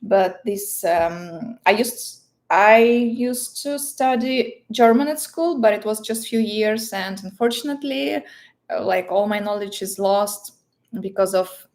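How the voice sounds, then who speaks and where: A woman's voice: medium pitch, slow, a little mellow. A woman with a Russian accent, a native Russian speaker, chatting casually and playfully as she answers livestream questions, often searching for words. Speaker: livestream host.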